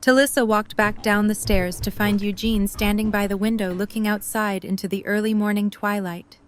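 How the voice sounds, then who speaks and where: A woman reading scripted story narration in an audio drama, at a medium pitch. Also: steady tone, normal speed, slight background noise.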